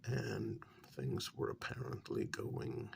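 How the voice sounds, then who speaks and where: A man playing a calm, courteous, frank human mediator in a soft-spoken ASMR vampire role-play, with a breathy, whispery voice and a hesitant, measured delivery. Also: mid-range voice, background noise, heavily acted. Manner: slow, hesitant, polite, measured.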